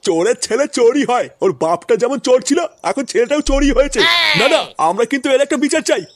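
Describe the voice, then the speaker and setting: A man's voice: very high-pitched, quick pace, no echo, studio quality. A man playing an indignant, self-righteous, foul-mouthed village man in a crude comic cartoon. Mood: indignant, accusing. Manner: scolding, swearing.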